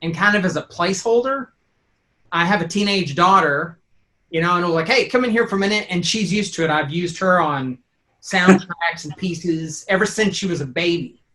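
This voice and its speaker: Bright, high-voiced middle-aged man. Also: normal speed. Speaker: sound designer being interviewed. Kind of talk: casual anecdotal chat.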